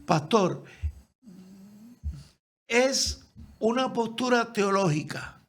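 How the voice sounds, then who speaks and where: A man's voice: very high-pitched, lively intonation, very slow. A man hosting an interview, asking probing questions and echoing his guest with short replies. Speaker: interview host.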